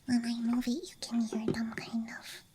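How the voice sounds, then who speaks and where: A woman whispering softly and breathily in an ASMR style, murmuring gently as if to herself, close to the microphone. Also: on the high side, monotone, moderate pace, crowd noise. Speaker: ASMR streamer playing a dice goblin persona. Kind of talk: ASMR, soft whispering to the listener.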